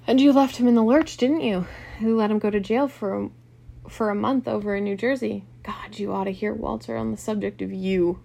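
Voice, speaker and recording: A woman's voice: medium pitch, fairly even intonation, a little fast, creaky, few pauses, a little mellow. A woman voicing an arrogant, hot-tempered wealthy man with a blustering, accusatory manner in an audiobook story. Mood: jeering.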